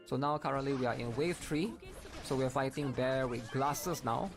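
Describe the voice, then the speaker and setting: Man, casual gaming commentary, explanatory, gravelly fry, faint music behind, addresses viewers. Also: medium pitch, normal speed. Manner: explanatory, often checking in with viewers. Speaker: gaming video creator.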